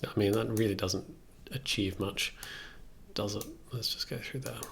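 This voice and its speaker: A man's voice: lowish voice, steady tone, moderate pace, vocal fry. A man in his thirties thinking aloud in a casual livestream, musing and questioning himself as he works. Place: indoors at a computer desk. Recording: loud noise and clicks behind voice.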